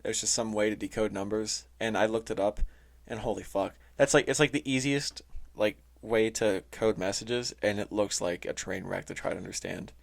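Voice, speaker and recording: Male podcast host, lowish voice, informal explainer delivery, stop-and-start with lots of "like". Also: vocal fry. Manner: informal, halting, heavy use of "like". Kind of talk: casual explainer talk reading from notes. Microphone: close, touchy microphone.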